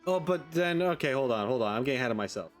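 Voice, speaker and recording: Male let's-play commentator, casual and rambling, mid-range pitch, light background music. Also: animated, racing. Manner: rambling, thinking aloud. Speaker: gaming streamer.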